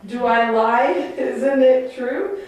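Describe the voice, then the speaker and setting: A woman acts out a defiant enslaved island savage for a puppet show, in a fairly high voice. The delivery is declamatory and taunting, full of bitterness. It is heard at a distance in a very reverberant room. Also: slow, a little mellow. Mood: bitter, taunting. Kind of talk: theatrical puppet show dialogue. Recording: very echoey room, distant mic.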